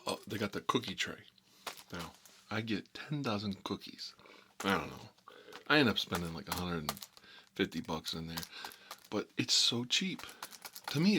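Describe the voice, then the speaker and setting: A man's voice: on the low side, a bit bright, slight background noise, close to an everyday voice. A man playing a chatty, boastful American mob boss who rambles and repeats himself in a casual ASMR role-play monologue. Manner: folksy, repetitive, rambling, emphatic. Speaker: ASMR storyteller playing a recurring character.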